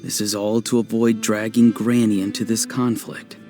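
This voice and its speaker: A man playing an earnest young man in a video game, pleading and soft-spoken. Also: fairly low pitch, level tone, moderate pace, soft background music, natural voice. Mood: strained, pleading.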